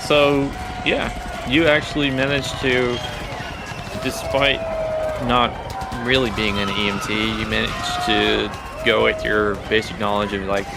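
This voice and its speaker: A man's voice: on the low side, flat delivery, unhurried, creaky, loud music behind the voice. A man running a tabletop game as game master, narrating casually and improvising as he goes. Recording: faint background noise.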